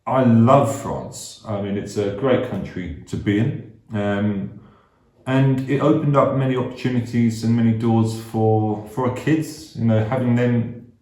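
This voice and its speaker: A middle-aged man born in Hong Kong, co-hosting a casual chat vlog, explaining things in a measured way with fillers. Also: medium pitch, warm tone. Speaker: vlog co-host. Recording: echoey room.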